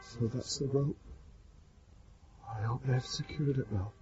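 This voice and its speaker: Male actor as condemned prisoner, slow halting delivery, frightened, radio drama, dull recording. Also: medium pitch, mellow, a little hiss or hum, close to an everyday voice. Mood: dread, resolve. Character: condemned man on the gallows, frightened, struggling to stay composed. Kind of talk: broadcast radio drama acting.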